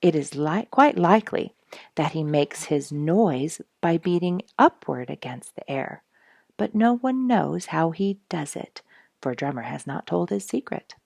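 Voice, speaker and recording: A woman's voice: low-pitched, lively intonation, a little slow, gravelly, darkish tone, no echo, clean studio sound. A woman reading a children's storybook aloud expressively, speaking directly to young listeners. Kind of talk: animated storybook read-aloud.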